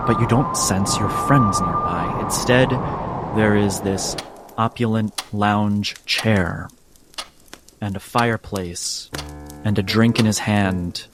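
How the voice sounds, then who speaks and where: A man runs a tabletop game with dramatic narration. His voice is on the low side and very slow, broken by deliberate pauses. Music plays clearly underneath. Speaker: game master. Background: background music.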